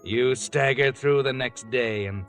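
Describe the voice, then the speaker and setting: Foreboding male mystery storyteller, 1940s radio, medium-pitched, taunting, over clear backing music. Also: a bit bright. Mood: ominous. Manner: deliberate, foreboding, addressing the listener as you. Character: ominous, taunting unseen male storyteller of crime tales.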